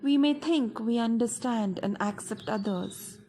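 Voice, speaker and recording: Slow, higher-pitched Indian woman guiding meditation. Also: background noise. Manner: measured, deliberate phrasing with pauses. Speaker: meditation guide. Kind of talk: guided meditation narration, scripted. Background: music.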